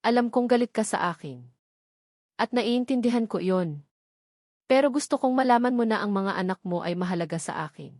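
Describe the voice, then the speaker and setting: A woman's voice: fairly high pitch, animated, a little fast, darkish tone, no echo, studio quality. A woman with a Filipino accent voicing an earnest, devoted father pleading with his former love in a romance story.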